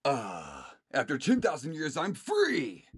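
A man voicing a hateful, power-hungry villain warlord in an audio drama, gloating theatrically in a drawn-out way. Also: a little high, huge pitch swings, fairly bright tone, slightly rough, dry recording, studio quality, pitched above the performer's own voice, full character voice. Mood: menacing triumph.

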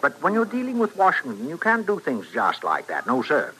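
An actor playing a smooth-talking, urgent professor and inventor in a radio western, articulate and theatrical. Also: male voice, fairly high pitch, huge pitch swings, brisk, pushed and bright, heavily acted, poor audio. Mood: persuasive. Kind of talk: 1950s-60s radio western acting.

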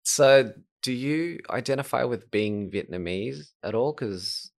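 An Australian man in casual chat, telling stories in a reflective, measured way. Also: mid-range voice, expressive, creaky.

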